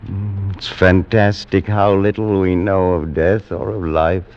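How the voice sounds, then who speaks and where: An actor playing a grief-crazed, morbid gentleman, the last of his line, in theatrical, tremulous 1940s radio horror style. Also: low-pitched, flat delivery, a little slow. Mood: haunted, secretive. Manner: theatrical, tremulous, swings from whispering to anguished outbursts. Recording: dull lo-fi 1940s radio recording.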